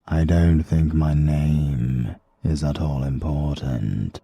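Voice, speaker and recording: Man doing a very deep, slow, softly menacing British killer, gravelly and husky. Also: steady tone, no room sound, full character voice. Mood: cold, calm. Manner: slow, soft, measured, coldly polite. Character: cold, soft-spoken British assassin man, polite menace.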